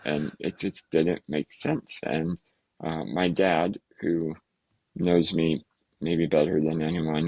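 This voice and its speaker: Very deep, gravelly-voiced man. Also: dry recording. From United States. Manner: halting, many fillers and restarts, soft laughs. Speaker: podcast guest. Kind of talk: casual interview talk.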